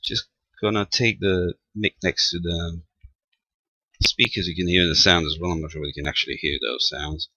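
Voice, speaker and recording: A man giving an informal technical demonstration as a presenter, halting and thinking aloud as he goes. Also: low voice, fairly even intonation, sharp tone, breathy edge, no room sound, muffled recording. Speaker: amateur radio tutorial presenter. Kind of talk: informal spoken software demonstration.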